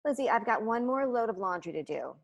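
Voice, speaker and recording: A woman's voice: a little high, sing-song, fairly quick, vocal fry, highs cut off, heavily acted. A middle-aged woman playing a cheerful, caring suburban mom in a comedic table read over a video call. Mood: friendly, casual. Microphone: wired earbuds on video call.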